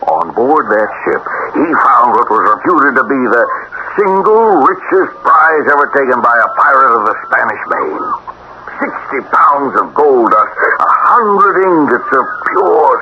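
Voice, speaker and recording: A man's voice: medium pitch, measured pace. A man playing an eager, hesitant boat owner chasing buried treasure in a 1970s radio drama. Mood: conspiratorial. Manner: hesitant, excitable, conspiratorial. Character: eager middle-aged male boat owner and treasure hunter. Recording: noisy dull vintage radio broadcast.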